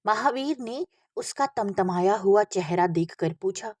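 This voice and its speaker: A woman narrates literary fiction in a husky voice at a slow, measured pace. She reads expressively, and the recording is dry and close. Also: mid-range voice, expressive, darkish tone, no echo. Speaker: audiobook narrator. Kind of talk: expressive literary story reading.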